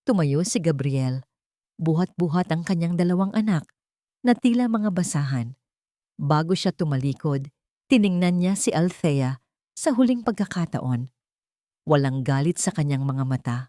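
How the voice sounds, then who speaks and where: A woman reading a scripted audio drama in an emotive, deliberate storytelling manner. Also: low voice, mellow, no echo, studio quality.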